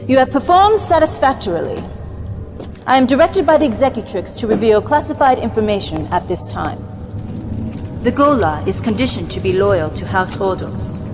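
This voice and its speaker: A woman's voice: on the high side, animated, normal speed. An actress playing a dutiful, controlled female military mentor in a science fiction game, giving clipped, formal briefings. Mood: cool, formal. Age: young adult. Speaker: actor in game cutscenes. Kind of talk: formal scripted mission briefing. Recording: long hall reverb, music underneath. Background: background music.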